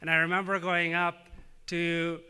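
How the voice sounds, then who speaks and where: A man in his thirties from Tanzania, a native Swahili speaker, giving an earnest, measured public speech with frequent "uh" fillers. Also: on the high side, completely flat delivery, pushed and bright, clear, resonant tone. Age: 30s. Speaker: government official giving a speech.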